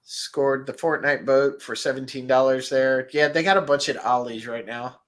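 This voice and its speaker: A man hosting a relaxed stream, chatting and reading viewer comments, his voice nasal and stuffed up. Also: mid-range voice, moderate pace. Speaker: host. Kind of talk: casual livestream chat about toys.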